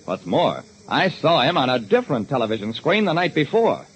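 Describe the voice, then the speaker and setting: A man's voice: mid-range voice, very animated, brisk, breathy edge, poor audio. An actor playing a terse, observant private detective, narrating his casebook in a clipped, dramatic 1940s radio style on a hissy old recording. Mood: terse, determined. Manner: dramatic, clipped hard-boiled storytelling.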